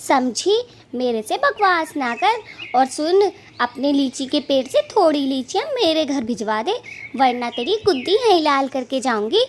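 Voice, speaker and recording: Woman as scolding bird wife for kids' cartoon. Very high voice, bossy, taunting, theatrical. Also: a little hiss or hum. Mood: threatening, angry. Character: bossy nagging female bird, quick-tempered wife. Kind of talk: children's cartoon story dialogue.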